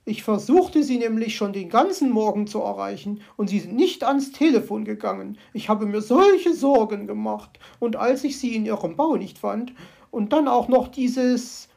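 Woman reading as a storybook magpie lady, fussy and easily scared, high shrill outbursts, gravelly edge. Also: moderate pace, warm tone. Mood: relieved. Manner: shrill, agitated exclamations. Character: excitable fussy female magpie, easily frightened. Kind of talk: storybook character voice.

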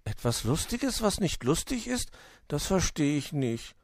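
A man's voice: high-pitched, expressive, moderate pace, dry recording, studio quality, voice pushed up. A man voicing a small, naive hedgehog child in a children's story, eager and easily indignant. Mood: puzzled.

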